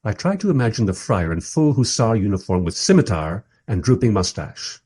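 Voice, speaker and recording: A man's voice: fairly low pitch, very animated, fairly quick, warm tone, breathy edge. A man playing a bemused documentary interviewer who narrates a radio play in a dry, reflective, self-deprecating way. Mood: wry, reflective. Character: wry, bemused male documentary interviewer narrating his journey.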